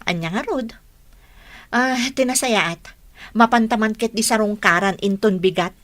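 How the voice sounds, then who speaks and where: A woman's voice: mid-range voice, moderate pace, a bit bright, natural voice. A woman acting a nosy young friend who gossips, in an Ilocano Filipino radio drama. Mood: curious, concerned.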